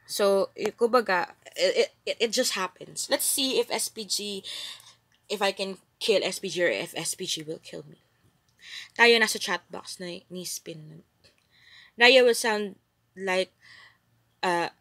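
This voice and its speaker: A woman's voice: mid-range voice, pushed and bright, no room sound. A young woman with a Filipino accent, chatting playfully and giggling as she streams herself playing a game. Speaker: gaming streamer. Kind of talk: live gameplay commentary and chat.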